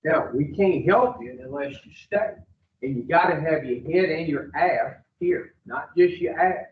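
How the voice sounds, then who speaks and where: Blunt counselor, thin echoey sound. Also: male voice, on the high side, a little slow. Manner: blunt, confrontational, drawling. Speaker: addiction treatment intake counselor. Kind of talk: blunt intake interview. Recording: far-off phone-like audio in an echoey room.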